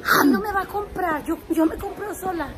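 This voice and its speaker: A woman's voice: very high-pitched, normal speed. A middle-aged woman in casual family chat, bantering and mildly scolding, repeating her phrases, picked up by a handheld phone. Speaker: vlogger's mother. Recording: echoey public hall, music and crowd.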